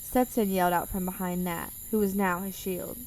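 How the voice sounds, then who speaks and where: A woman's voice: lowish voice, steady tone, moderate pace, darkish tone, far from the mic, low-quality recording. A woman reading scripted story narration for a radio drama.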